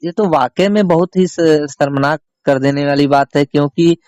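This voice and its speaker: A man's voice: fairly high pitch, normal speed, a little mellow, no room sound, studio quality. A man interviewing someone as a reporter on a recorded phone call, pressing his questions emphatically and repeating himself. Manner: insistent, repetitive, emphatic questioning.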